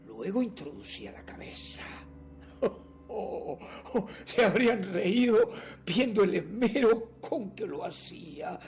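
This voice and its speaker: Theatrical, nervous madman, wildly swinging pitch. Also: very animated, vocal fry, darkish tone, full character voice. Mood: manic, amused. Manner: theatrical, halting, swinging between whispers and outbursts. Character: nervous, obsessive madman confessing a murder, insisting he is sane. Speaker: radio theatre actor. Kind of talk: dramatic radio theatre monologue.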